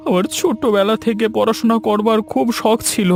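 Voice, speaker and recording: A man voicing a poor, gentle fisherman father in a cartoon story, speaking in an earnest, plaintive way. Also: high voice, steady tone, moderate pace, gravelly, soft background music. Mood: pleading.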